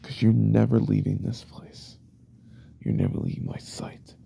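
A man playing a jealous, unhinged yandere boyfriend in an ASMR roleplay, speaking softly and often in whispers, intense and controlling. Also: fairly low pitch, level tone, a little slow, slight background noise. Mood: possessive, menacing. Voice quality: soft, breathy, often whispered.

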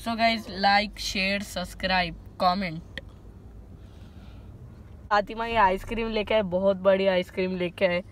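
A teenage boy chats to his viewers in a casual vlog, his voice sitting a little high. The phone recording is thick with steady background noise. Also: measured pace, long pauses. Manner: chatty, addresses viewers as guys. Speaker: vlogger.

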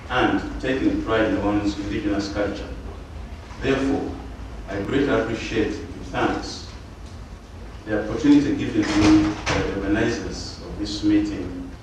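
An older man from Uganda, a native Luganda speaker, giving a formal, measured symposium address at a panel table, often pausing with fillers. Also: on the low side. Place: lecture hall panel table. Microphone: table mic on stand. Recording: echoey room, distant mic, background hiss.

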